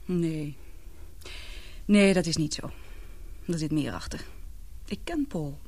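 A young woman playing an anguished daughter in love in a radio drama, confiding in halting, earnest, emotional speech. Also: sits low, a little mellow, breathy edge, heavily acted. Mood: worried, confiding. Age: young adult.

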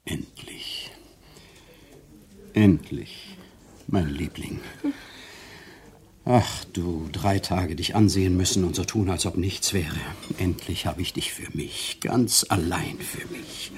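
An actor playing a smooth, self-pitying conman in a German radio drama, coaxing, intimate and theatrical. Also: sits low, slow pace, pauses often. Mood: tender, coaxing.

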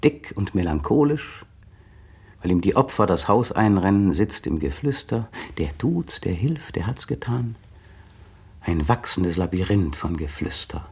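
Casting: a bitter, brooding radio-play narrator, low husky voice, very lively pitch. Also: male voice, a little slow, full character voice. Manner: brooding, bitter, precise diction. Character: tense bitter man brooding on his partner. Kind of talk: 1960 radio drama inner monologue.

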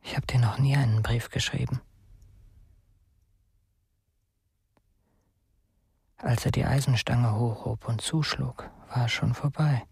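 An actor playing a frightened teenage nephew in hiding, speaking a confessional letter in a hushed, breathy voice in a radio play. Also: male voice, mid-range voice, steady tone, moderate pace, dry recording, studio quality. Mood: haunted.

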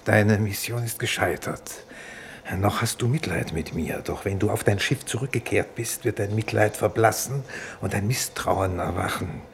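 Man acting a grave, deep-voiced exile, gravelly. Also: lightly acted. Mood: fatalistic, unsparing. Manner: measured, grave, declarative. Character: resigned, unbending exiled doctor in a penal colony on Venus. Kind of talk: 1950s German radio play acting. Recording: faintly noisy 1950s radio recording.

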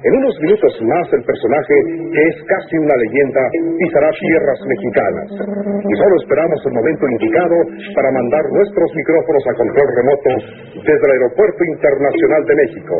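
A man's voice: on the high side, expressive, brisk, close to an everyday voice. An actor playing a formal, grandiose male studio announcer in a 1960s radio drama. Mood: solemn. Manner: ceremonious, sweeping announcer delivery. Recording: tinny, hissy 1960s radio recording.

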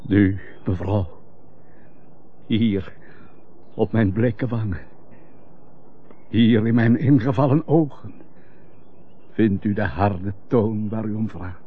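An actor playing a noble, melancholy betrayed husband, grave and formal in an old melodramatic radio drama. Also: male voice, fairly low pitch, slow, pauses often, husky. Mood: sorrowful, grave. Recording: muffled old radio recording, faint hiss.

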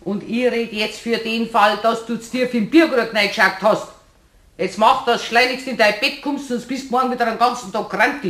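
An actress playing an exasperated, bossy wife with a Bavarian accent, scolding sharply in a German radio play. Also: female voice, a little high, fairly even intonation, moderate pace, a bit bright, roomy sound, natural voice. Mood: annoyed.

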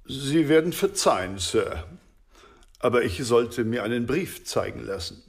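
A man playing an impassive, scrupulously polite butler in a radio drama, deferential and measured. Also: medium pitch, animated, vocal fry, slightly rough, exaggerated voice. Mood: polite, reserved. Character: formal, impassive male English butler.

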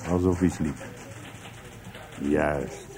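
An actor playing a sceptical, unimpressed police inspector in a Dutch radio play, questioning brusquely with sardonic hums. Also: male voice, very deep voice, steady tone, very slow, heavily acted. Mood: probing, sardonic.

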